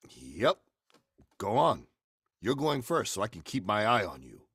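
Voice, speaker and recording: An actor playing a menacing, commanding murderer in 1940s radio horror style, gravelly and theatrical. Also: male voice, on the low side, very animated, a little slow, slight background noise. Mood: suspicious, commanding. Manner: theatrical, forceful. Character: menacing male murderer, commanding, coldly confessing.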